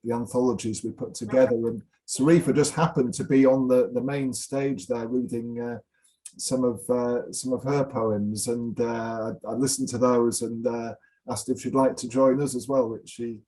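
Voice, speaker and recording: A man with a soft, dark voice of middle pitch hosts an informal event. He speaks politely, in halting phrases, as he introduces and welcomes a guest. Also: low-quality recording. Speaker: event host.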